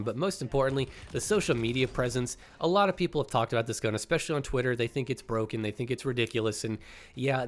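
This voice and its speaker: Congested, slightly nasal male gaming YouTuber. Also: medium pitch. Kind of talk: casual gaming commentary.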